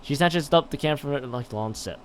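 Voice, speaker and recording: A man's voice: medium pitch, quick pace, slight background noise. A man on a live game stream, reading a visual novel aloud with stumbles and exasperated asides. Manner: stumbles and repeats words while reading.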